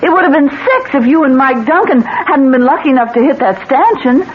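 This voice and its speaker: A woman's voice: high-pitched, expressive, brisk. A woman acting in a 1970s radio drama, playing an anxious, pleading wife with an earnest delivery. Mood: urgent. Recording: muffled old radio broadcast, faint noise.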